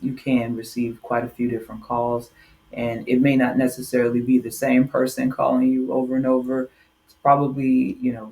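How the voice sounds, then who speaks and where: A man's voice: mid-range voice, darkish tone, poor audio. A man working as an insurance agent gives an informal explainer to viewers in a conversational, hesitant way.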